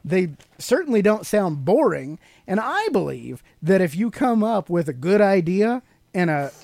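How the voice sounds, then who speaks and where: A man's voice: high-pitched, very animated, unhurried, gravelly, darkish tone, no echo, studio quality. A middle-aged man co-hosting a podcast, explaining things casually to a child in a teacherly way with leading questions. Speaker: podcast co-host and father explaining to his child.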